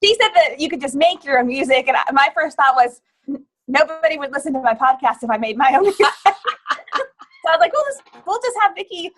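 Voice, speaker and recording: Woman, high voice, chatty participant on a video call, jokes a lot. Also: animated, fast talker. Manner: chatty, joking. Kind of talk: casual online meeting chat.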